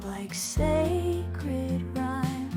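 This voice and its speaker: A high-voiced woman sings a hopeful ballad very slowly. Loud guitar music plays along with her voice. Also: lively intonation. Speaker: singer. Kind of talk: slow, hopeful sung ballad.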